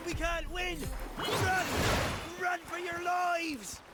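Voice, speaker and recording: An actor playing an excitable, cowardly, blustering pirate in a video game, with exaggerated pompous delivery. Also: male voice, very high voice, takes it slowly, full character voice. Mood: panicked. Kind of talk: video game character dialogue. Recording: loud music behind the voice. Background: loud game music.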